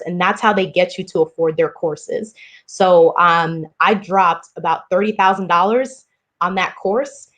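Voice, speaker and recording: A woman's voice: lowish voice, fairly bright tone. A woman from the Dominican Republic, a podcast guest telling her story candidly with frequent ums. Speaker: podcast guest, part-time real estate investor. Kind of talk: casual interview talk.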